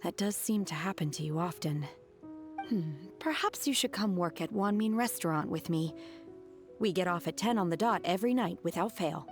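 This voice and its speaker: An aloof, blunt young woman in a fantasy game. Her voice is on the low side, calm and formal, over faint music. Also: normal speed. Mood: deadpan. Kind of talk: video game dub acting. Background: faint background music.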